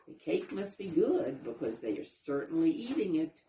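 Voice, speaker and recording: A woman's voice: deep voice, big pitch swings, moderate pace, mellow, slight background noise. A woman narrating a children's storybook, explaining things to young listeners as she reads. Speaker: storybook reader, teacher. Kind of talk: children's read-aloud narration with asides. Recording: roomy, echoing sound.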